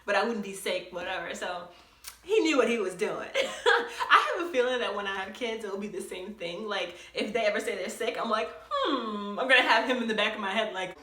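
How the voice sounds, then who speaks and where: A young woman telling a story on her vlog in an animated way, often laughing as she talks. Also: mid-range voice, fairly quick, roomy sound. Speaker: vlogger.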